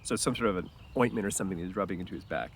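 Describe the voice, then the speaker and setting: A man narrating a story aloud like a teacher, stopping for informal explanatory asides and clearing his throat. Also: sits low, racing, a little hiss or hum.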